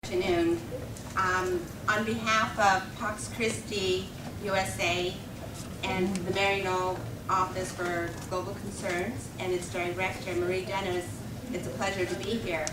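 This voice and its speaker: A woman's voice: mid-range voice, flat delivery, unhurried. A woman opening an advocacy event with a formal, earnest welcome and introductions of the guests. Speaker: event moderator for advocacy groups. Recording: echoey hall, distant mic, background noise.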